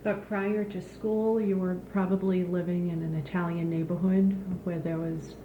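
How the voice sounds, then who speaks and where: Female oral history interviewer, lowish voice, roomy and tinny sound. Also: level tone, moderate pace, a little hiss or hum. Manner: brief questions and quiet back-channel murmurs.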